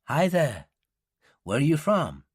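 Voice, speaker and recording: Audiobook role: man as enthusiastic American girl student, friendly, lifted pitch, very lively. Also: takes it slowly, slightly rough, no echo, studio quality, heavily acted. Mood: bright enthusiasm.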